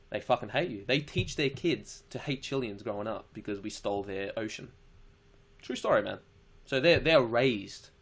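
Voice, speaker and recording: A man's voice: on the low side, fairly bright tone. A young Australian man with an Australian accent, chatting casually on a live stream, answering viewer questions in a laid-back, slangy way with casual swearing.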